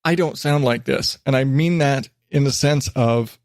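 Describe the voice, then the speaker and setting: A man's voice: medium pitch, moderate pace, darkish tone, no room sound, clean studio sound. A man hosting a rambling solo podcast, chatty and self-deprecating, drifting from one digression to the next. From western United States. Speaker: podcast host. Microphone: studio microphone.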